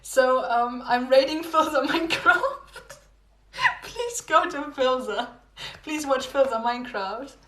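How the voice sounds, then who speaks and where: A young woman with a fairly high, slightly hoarse voice chats to her livestream viewers in a loose, rambling way, repeating lines and laughing softly. She sounds like she is losing her voice. The room around her is noticeably echoey. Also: expressive, slow. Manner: rambling, repeats herself, giggly. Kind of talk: casual livestream chat with viewers. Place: home room.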